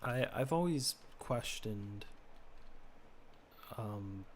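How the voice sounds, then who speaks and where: Young guy rambling while he games, mid pitch kept low on purpose, faint hiss behind. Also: lively intonation, very slow. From United States. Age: teens. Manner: rambling, musing, low-key; talks lower than his natural voice. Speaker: streamer. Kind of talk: casual chat while gaming.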